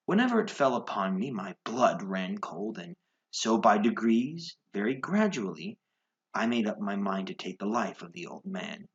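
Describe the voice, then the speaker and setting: A man performing a theatrical horror narration as a nervous, cunning madman insisting he is sane, halting and shifting between hushed and agitated delivery. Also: on the low side, muffled recording. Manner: theatrical, halting, swinging from whispers to outbursts. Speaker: voice performer reading a classic horror tale.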